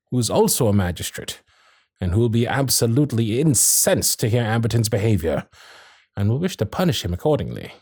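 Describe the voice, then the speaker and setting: A man playing a courteous, protective Victorian gentleman suitor in a romantic period ASMR roleplay, with formal, old-fashioned phrasing and dramatic pauses. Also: on the low side, no echo, clean studio sound. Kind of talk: romantic period ASMR roleplay, intimate one-sided dialogue.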